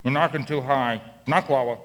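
A man's voice: medium pitch, moderate pace, close to an everyday voice. A man playing a grudging, blunt dwarf craftsman in a live audio comedy, with an offhand, repetitive way of talking. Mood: dismissive. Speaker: fabricator at a dwarf workshop. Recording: live stage recording with clear noise.

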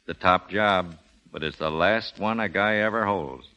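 Casting: terse racket boss, low voice, reverberant vintage radio drama sound, understated menace. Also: male voice, unhurried, bright, forceful tone, smooth. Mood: cool, resigned. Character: cool, weary male racket boss. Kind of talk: 1930s radio acting. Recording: dull, reverberant 1930s radio recording.

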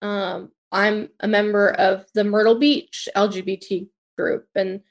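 A woman's voice: medium pitch, little pitch movement, measured pace. A woman with a mid-range voice speaks as a podcast guest in casual conversation. She talks tentatively, trailing off and hunting for the right words as she goes.

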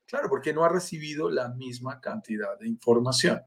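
A man's voice: mid-range voice, fairly quick, vocal fry, fairly bright tone. A man with a Colombian accent, presenting a live stream over a video call in an enthusiastic, welcoming and persuasive way. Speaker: live stream host, sales director.

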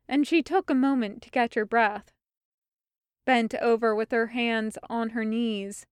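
A woman's voice: fairly high pitch, clean tone, no echo, studio quality. A woman reading a scripted story as an audiobook narrator. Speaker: fan fiction audiobook reader.

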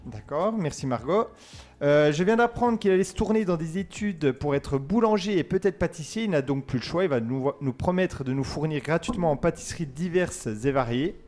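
A man's voice: on the high side, expressive, a little fast, a little mellow, soft background music. A man hosting a casual student radio show, chatty and joking as he teases his guests. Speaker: host.

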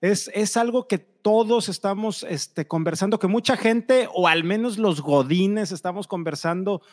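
A man hosts a live video-call interview in a notably high voice. He chats in an upbeat, easygoing way, dropping in fillers as he goes. The feel is casual. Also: a little slow, darkish tone. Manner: chatty, upbeat, frequent fillers. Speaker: host. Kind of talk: live online interview show.

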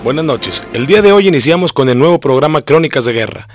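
A man presents a scripted radio show in a formal manner over faint music. His medium-pitched voice moves along quickly. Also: animated. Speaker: programme presenter.

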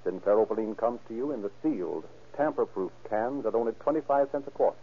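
A man's voice: medium pitch, brisk, husky. A man narrating and announcing in a formal, declamatory, scripted 1930s radio style. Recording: muffled, lo-fi old radio recording.

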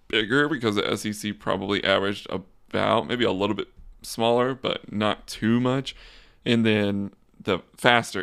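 A young man hosting a casual solo sports podcast, talking in a flat, monotone, rambling way. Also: on the low side, gravelly. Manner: monotone, says so himself; relaxed, rambling with fillers and restarts. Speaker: podcast host.

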